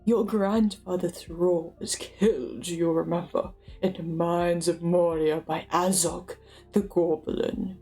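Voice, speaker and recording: Woman acting a stern, mellow-toned old wizard. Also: on the low side, fairly even intonation, takes it slowly, soft background music, voice pushed down. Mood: grave. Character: stern old male wizard, grave and impatient. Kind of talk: dramatic book reading.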